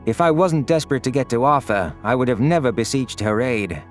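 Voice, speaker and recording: Male narrator, medium pitch, scripted first-person sci-fi storytelling, soft music bed. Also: animated, fairly quick. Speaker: narrator of audio stories.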